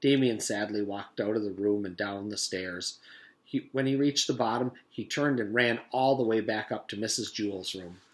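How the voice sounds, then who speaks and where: A man's voice: on the low side, normal speed. A man reading a children's story aloud as a teacher would to pupils, in storybook narration.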